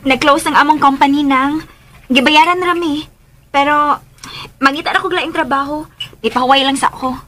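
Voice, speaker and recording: A woman's voice: sits high, fairly even intonation, moderate pace, pauses often, sharp tone, slight background noise. An actress playing a helpful young woman from the provinces in a radio drama, with a Cebuano Filipino accent. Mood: matter-of-fact. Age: young adult. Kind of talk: Philippine radio drama acting.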